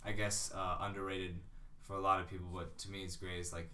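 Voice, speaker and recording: A man's voice: low voice, level tone, fairly quick, pushed and bright, far from the mic, a little hiss or hum. A young man in casual podcast chat, rambling with lots of fillers. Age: young adult. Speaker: podcast co-host.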